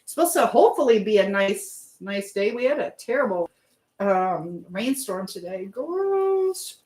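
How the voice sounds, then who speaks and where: A woman's voice: medium pitch, animated, normal speed, darkish tone. A woman hosting a casual live chat, rambling and trailing off as she answers viewers while busy with something else. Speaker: livestream host.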